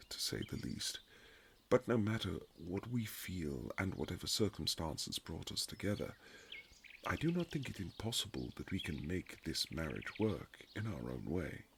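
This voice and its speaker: Man acting a very deep, gravelly Regency husband. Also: slight background noise, heavily acted. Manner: formal, halting, period-drama phrasing. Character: reserved formal Regency husband, awkwardly tender, softening toward his wife. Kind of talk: romantic boyfriend ASMR audio roleplay.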